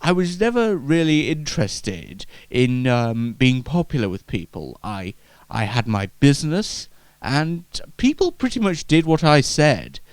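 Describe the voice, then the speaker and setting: A man's voice: medium pitch, very animated, measured pace, clear, resonant tone, no room sound. A man voicing an elderly reformed Victorian miser in a comedy sketch, formal and hesitant, sometimes testy. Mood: reflective, polite.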